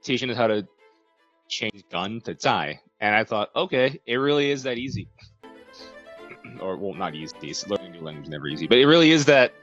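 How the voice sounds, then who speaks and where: A man's voice: on the low side, animated, moderate pace, fairly bright tone, muffled recording, soft background music. A young American man in a casual interview, laid-back, chuckling often and hedging his words. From United States. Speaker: language program student.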